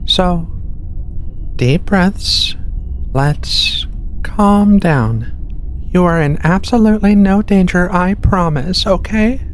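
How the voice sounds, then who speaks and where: Woman voicing a gentle, slow-talking giant furry alien. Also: deep voice. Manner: soothing, halting, hesitant, soft-spoken. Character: giant furry alien researcher, gentle, reassuring, slightly flustered. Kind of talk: soft-spoken ASMR roleplay. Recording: clear background noise, ship ambience.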